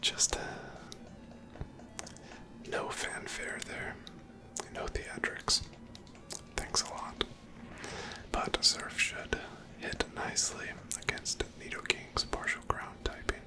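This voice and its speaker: Slow, whispered ASMR gaming voice. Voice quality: whispered, breathy. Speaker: gameplay host. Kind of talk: whispered ASMR video game commentary.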